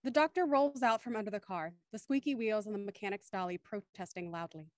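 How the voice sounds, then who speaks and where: A woman's voice: mid-range voice, fairly quick, darkish tone, no room sound. Woman narrator, dry close sound. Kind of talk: scripted narration of stage directions.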